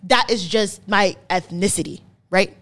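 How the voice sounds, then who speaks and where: A woman's voice: mid-range voice, measured pace, fairly bright tone. She is a woman in her twenties from Canada with a mid-range voice. She talks like an opinionated podcast host explaining something to a friend. Her delivery is emphatic, leaning on repeated words and free with swearing. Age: 20s. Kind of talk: casual chat, opinionated explaining.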